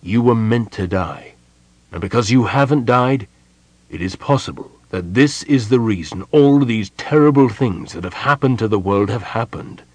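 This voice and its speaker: A man voicing a stern, patient male mage who explains things gravely and earnestly in a storybook reading. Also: lowish voice, darkish tone, no echo. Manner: measured, serious, explanatory.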